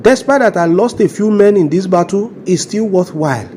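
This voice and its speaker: Male actor doing a Nigerian policeman, mellow dark timbre, zealous, scornful, self-important, comic skit. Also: high voice, lively intonation, moderate pace, slight background noise. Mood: gloating. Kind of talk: comedy skit acting.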